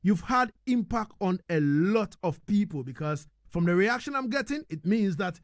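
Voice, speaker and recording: A male radio host, speaking conversationally and a little haltingly as he frames questions. His pitch moves around a great deal, and the sound is dry and close. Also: lively intonation, no room sound. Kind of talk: conversational interview.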